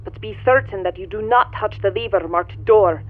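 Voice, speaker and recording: A woman playing an imperious, mysterious woman from another universe in a pulp radio drama, her sweet, deliberate voice heard through a radio filter. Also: mid-range voice. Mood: urgent, commanding. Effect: radio transmission filter. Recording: thin radio-filtered voice, narrow band. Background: music.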